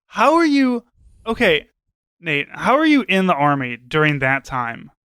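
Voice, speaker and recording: A man's voice: fairly high pitch, big pitch swings, measured pace, fairly bright tone, clean tone, no room sound, studio quality. A man co-hosting a podcast, giving casual commentary in a rambling, wry, sweary way. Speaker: podcast co-host.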